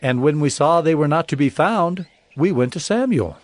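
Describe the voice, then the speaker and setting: Man playing an earnest, higher-voiced ancient Israelite. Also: animated, normal speed, close to an everyday voice. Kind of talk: dramatized scripture reading.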